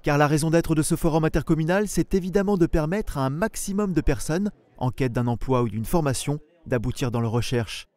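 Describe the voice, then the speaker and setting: A man's voice: fairly high pitch, animated, fairly quick, darkish tone, no echo. A man reading scripted narration as the voice-over of a local news report.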